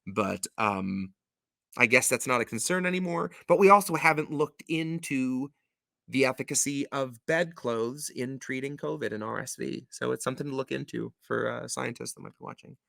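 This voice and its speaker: A man's voice: medium pitch, moderate pace, vocal fry, pushed and bright, no room sound, studio quality. A man hosting a show, reading storybook verse and chatting casually with wry, jokey asides. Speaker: show host and storybook reader.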